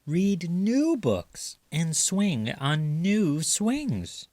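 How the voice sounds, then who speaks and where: A man voicing a gentle, reassuring mother raccoon in a tender, soothing manner for a children's storybook. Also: fairly high pitch, very animated, drawn-out words, dry recording, pitched above the performer's own voice, full character voice.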